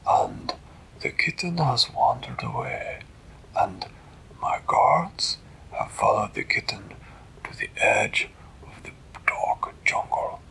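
A man voicing a courteous, grave cat king in a children's story, with a breathy, gravelly, deliberate delivery. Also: fairly low pitch, huge pitch swings, bright, forceful tone, in a whisper, heavily acted, poor audio. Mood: grave, ominous.

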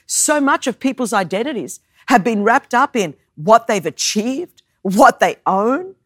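A woman in her thirties from Australia, whose first language is Greek, preaching a sermon and telling a personal story with animated, self-mocking humor. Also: on the high side, a little slow, dry recording. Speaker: guest preacher.

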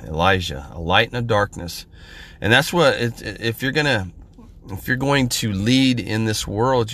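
A middle-aged man from Central California, hoarse from a head cold, giving an informal podcast sermon in a halting way with many pauses and fillers. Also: fairly low pitch, fairly bright tone. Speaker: podcast minister.

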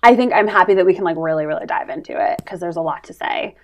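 A woman's voice: on the low side, fast, a little hiss or hum. An American woman in her thirties, chatting casually and playfully as a podcast co-host, often saying "like". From New Jersey, United States. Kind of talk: casual comedic podcast chat.

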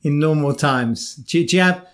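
Male podcast host, fairly high voice, halting delivery, repeats his openings. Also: crowd noise. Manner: halting, restarts his questions. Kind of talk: interview questions.

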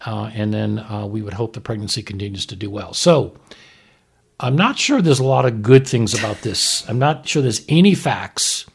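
A man's voice: on the low side, normal speed, gravelly, husky. A man hosting a casual podcast discussion as a physician, explaining medical points in a conversational way. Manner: conversational, explanatory, checks with "right?". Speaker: host, fetal surgeon reviewing a TV episode.

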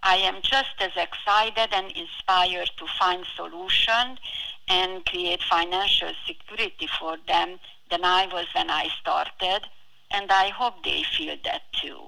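A middle-aged woman speaks with a heavy foreign accent in a bright, forceful voice set slightly low. She sounds like a professional guest giving prepared interview answers, earnest and deliberate. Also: steady tone, creaky, low-quality recording. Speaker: financial advisor, podcast guest.

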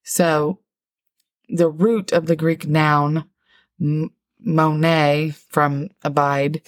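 A middle-aged woman with a low, deep voice talks through a Bible word study at an easy pace. She lingers on definitions as she explains them. Her voice sounds close and dry, with no room echo. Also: level tone. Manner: warm, explanatory, reads definitions slowly. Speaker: podcast co-host, Bible teacher.

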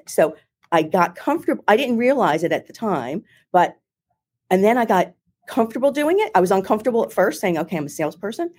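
A middle-aged woman with a Philadelphia accent, telling her career story in an interview in a chatty, animated way with many restarts. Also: fairly low pitch, breathy edge. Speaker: marketing executive, guest. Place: home or office in Ohio, remote call.

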